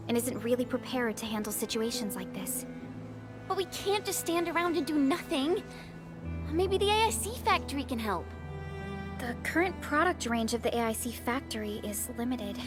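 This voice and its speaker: A woman performs a young female frontier relief helper in a video game, her voice fairly high, earnest and upbeat. Music plays clearly behind her as she speaks. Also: close to an everyday voice. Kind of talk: video game cutscene acting.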